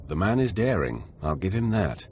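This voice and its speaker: A man voicing a calm, commanding, menacing Sith warrior in a video game, with a gravelly voice and dry delivery. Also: low voice, normal speed, a little hiss or hum. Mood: dry, measured.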